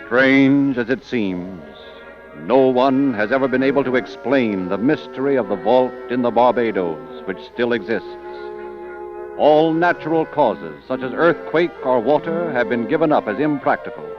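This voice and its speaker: A man's voice: mid-range voice, animated. Theatrical male radio narrator, muffled. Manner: theatrical, measured announcing. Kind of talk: dramatic scripted old-time radio narration. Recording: muffled old radio recording with music.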